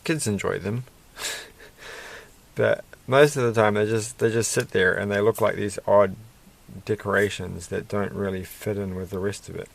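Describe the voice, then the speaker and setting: Soft-spoken gravelly man. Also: on the low side, fairly even intonation, moderate pace. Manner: soft-spoken, muttering to himself, self-deprecating. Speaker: vlogger building a toy kit. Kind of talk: casual rambling build commentary. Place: indoors at a desk at night.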